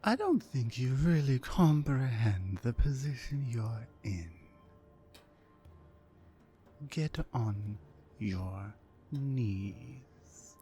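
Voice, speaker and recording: A woman playing a towering, mean, dominant orc captor in an ASMR roleplay, commanding and deliberate. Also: very deep voice, very animated, warm tone, soft background music, heavily acted. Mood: cold, commanding. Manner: menacing, commanding, slow and deliberate.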